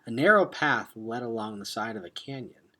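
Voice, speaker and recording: A man reading a children's adventure novel aloud like a teacher, expressive and dropping into hushed tones for suspense. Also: medium pitch, normal speed. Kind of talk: dramatic read-aloud narration of a children's novel.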